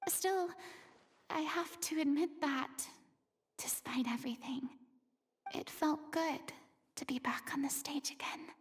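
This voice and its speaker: A woman voicing a proud, easily flustered young former stage star in a video game, in an expressive, stagey manner. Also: high-pitched, measured pace, long pauses, in a whisper. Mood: wistful.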